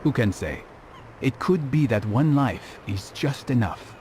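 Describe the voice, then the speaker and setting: A man playing a reflective, curious assassin in a video game cutscene, speaking in a formal, measured, philosophical way. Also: medium pitch, expressive, darkish tone, a little hiss or hum, natural voice. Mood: earnest, thoughtful. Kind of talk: dramatic scripted game cutscene dialogue.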